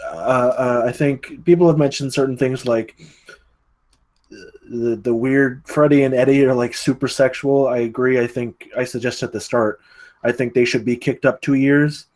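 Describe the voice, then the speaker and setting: Man, medium pitch, casual critique, hesitant, rambling, repeated words. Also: steady tone, moderate pace, darkish tone. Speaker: table read participant giving script feedback.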